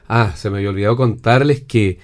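A man with a Chilean Spanish accent hosting a conversational university radio interview, speaking in long, reflective, measured sentences. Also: fairly low pitch.

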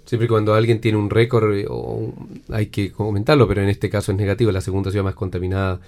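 A man with a Chilean Spanish accent hosting a conversational university radio interview, speaking in long, reflective, measured sentences. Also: on the low side, level tone, a little mellow.

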